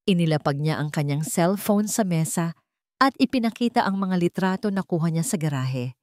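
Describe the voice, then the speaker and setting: Low-pitched woman narrating dramatic fiction. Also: expressive, moderate pace, a little mellow, dry recording, clean studio sound. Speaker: story narrator.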